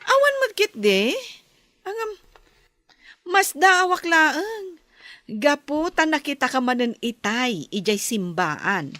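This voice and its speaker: Female, Ilocano radio drama: actress as spiteful village gossip, high swooping voice, snide and irritable. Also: big pitch swings, slow pace, long pauses, sharp tone, dry recording, full character voice. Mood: feigned politeness.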